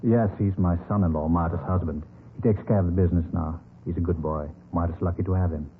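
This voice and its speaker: Gentle old businessman, tinny vintage radio. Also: low-pitched, steady tone, fast, a little hiss or hum. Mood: mild, admiring. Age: older. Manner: hesitant, mild, repeats himself. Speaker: actor in a radio crime drama. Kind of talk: vintage radio drama acting.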